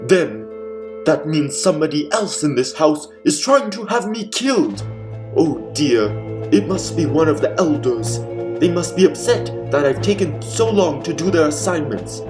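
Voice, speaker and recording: A man's voice: fairly high pitch, big pitch swings, measured pace, over music. A man playing a fussy, self-important villainous master of a mansion in a theatrical, exclamatory old-time radio mystery comedy. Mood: anxious scheming.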